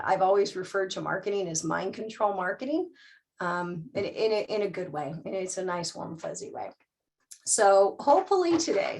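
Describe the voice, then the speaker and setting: A woman's voice: mid-range voice, rough recording. Chatty middle-aged woman on a video call. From California. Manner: chatty, self-deprecating, many fillers and restarts. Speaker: guest speaker. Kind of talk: casual chat then presentation on Zoom.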